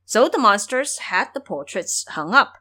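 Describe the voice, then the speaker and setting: Animated woman storyteller. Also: medium pitch, a little slow. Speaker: narrator of an audio drama series. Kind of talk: scripted dramatic storytelling narration.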